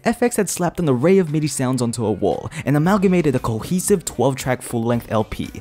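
Animated young man reviewing music. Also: medium pitch, brisk. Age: young adult. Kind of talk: scripted album review commentary. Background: music playing under the voice.

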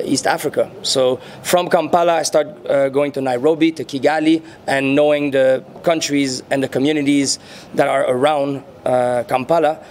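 Québécois man, unhurried interview answers. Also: a little high, darkish tone, a little hiss or hum. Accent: Quebec French. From French-speaking Canada. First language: French. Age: 30s. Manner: unhurried, searching for words, frequent fillers. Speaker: interviewee, muralist and rapper. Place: indoor venue before a painted backdrop. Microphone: handheld mics held near the chin.

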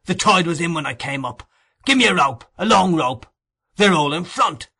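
Storybook murderer, acted by a male narrator: fierce, cornered brute, menacing, urgent commands, hard forceful edge. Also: fairly high pitch, lively intonation, normal speed, slightly rough, voice pushed up, exaggerated voice. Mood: desperate.

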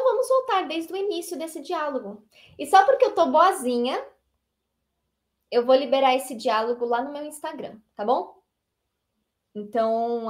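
A young Brazilian woman teaching English online from a small room, lively and encouraging, reading the English lines out slowly. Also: on the high side. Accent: Brazilian Portuguese. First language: Portuguese.

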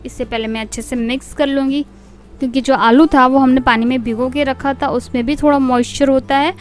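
A woman with a high, light voice talks viewers through a recipe step by step in a calm, explanatory tone. Her voice-over sits over soft background music. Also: brisk. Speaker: cooking channel host.

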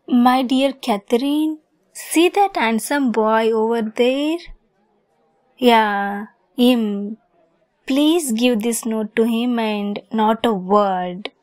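A woman's voice: on the high side, a little mellow. A woman playing a lovesick, possessive young kitsune fox girl in an ASMR roleplay, sweet, coy and giggly in manner. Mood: sweetly menacing.

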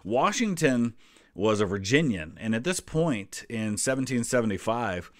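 A middle-aged man with an American accent talks in a low register. He explains things casually with chatty asides. The sound is dry and close to the mic. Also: no room sound. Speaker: host reacting to a history quiz video.

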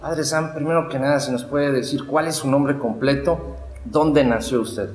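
A man's voice: mid-range voice, normal speed, soft background music. Male journalist interviewer, formal questions, echoey room with long reverb and faint ambience. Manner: formal, addresses the guest by title.

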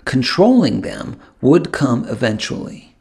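A man narrating a first-person audiobook in an expressive storytelling manner, with dramatic pauses. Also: medium pitch, husky. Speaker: author narrating his own audiobook.

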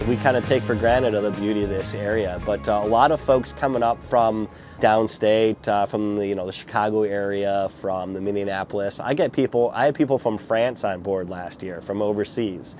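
Low-voiced man giving a boat tour. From Lower Michigan. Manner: relaxed, plain-spoken, with fillers. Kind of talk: casual interview, guiding a tour. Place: outdoors on a small boat on open water. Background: music.

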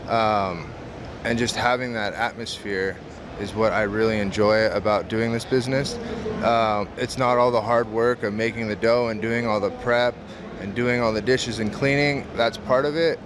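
A man in his thirties, a pizza business owner, answering interview questions casually with many "um" fillers, recorded close up at a trade show. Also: fairly low pitch. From San Diego, California. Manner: relaxed, frequent "um" fillers, earnest. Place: large indoor trade show hall. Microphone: camera close to face. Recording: noisy expo hall background.

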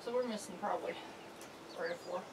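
A middle-aged woman talks in a brisk, matter-of-fact way as she counts birds inside a metal chicken coop. She is picked up from across the room by a camera on a tripod. Hens cluck and roosters crow loudly behind her. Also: medium pitch. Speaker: homestead vlogger. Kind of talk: casual chat while counting poultry. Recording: distant camera mic, noisy coop.

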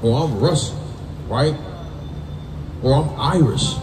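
A man's voice: a little high, animated, slow. An American man preaching as a street Bible teacher, emphatic and colloquial, punctuating his points with "right?" and "man". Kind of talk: call-and-response Bible teaching. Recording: distant roomy mic amid people's noise.

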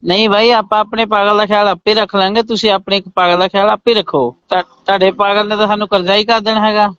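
A man's voice: high-pitched, flat delivery, fast talker, fairly bright tone. A man with a Punjabi accent on a comic phone call, answering in protest and repeating himself. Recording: dull, lo-fi phone call recording.

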